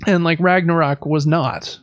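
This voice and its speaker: A man's voice: fairly high pitch, fairly even intonation, a little slow, no room sound, muffled recording. A man hosting a podcast, casually recapping news in a conversational way with explanatory asides. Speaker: podcast host.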